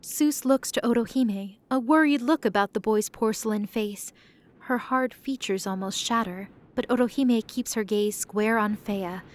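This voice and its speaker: A woman giving steady, scripted storytelling narration for an audio drama. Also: on the high side, a little slow. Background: background music.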